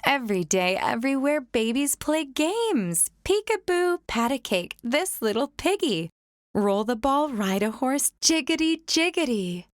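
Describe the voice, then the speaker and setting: A young adult woman reading a rhyming children's picture book aloud in a gentle, sing-song storytime manner. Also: sits high, sing-song, a little slow, dry recording, clean studio sound.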